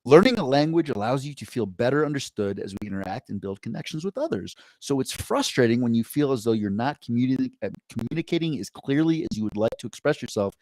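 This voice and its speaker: A man's voice: medium pitch, big pitch swings, fast, few pauses, a little mellow, no room sound, rough recording. A man co-hosting a podcast, chatting casually and reading posts aloud, rambling and correcting himself as he goes. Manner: rambling and self-correcting, upbeat. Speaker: podcast co-host.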